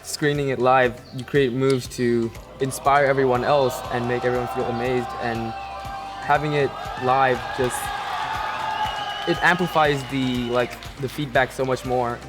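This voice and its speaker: A man talking excitedly and casually in an interview. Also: medium pitch, a little slow, a loud crowd. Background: loud music.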